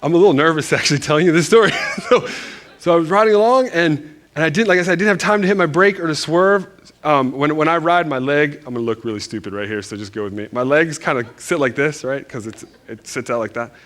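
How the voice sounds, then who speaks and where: A man from Northern Virginia preaching in a church, telling a story in a loose, animated, self-deprecating way with lots of restarts. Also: on the high side, fast. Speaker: pastor. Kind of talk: casual storytelling sermon.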